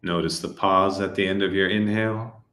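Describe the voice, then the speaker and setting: A man calmly leading a guided breathing meditation, giving soft-spoken instructions with long silences between them. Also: sits low, moderate pace, reverberant.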